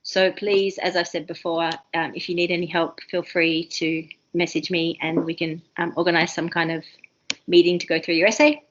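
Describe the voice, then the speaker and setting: A woman with an Australian accent leading an online university seminar, talking fast and excitedly with many ums and restarts. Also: lowish voice, highs cut off, a little hiss or hum. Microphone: video call.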